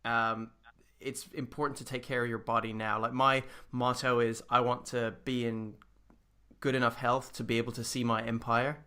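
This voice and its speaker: Man in his thirties, interview host, bright tense voice, upbeat motivational delivery. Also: mid-range voice, little pitch movement, moderate pace, clean tone. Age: 30s. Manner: upbeat, motivational, talks straight to viewers. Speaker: podcast host. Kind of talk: casual interview chat.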